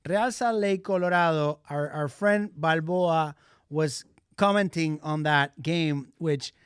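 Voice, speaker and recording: A man co-hosting a soccer podcast in casual chat, excitable and repetitive, dropping Spanish words into his English. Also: a little high, slow, clear, resonant tone, dry recording, studio quality. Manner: excitable, repeats himself, mixes Spanish into English. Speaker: podcast co-host.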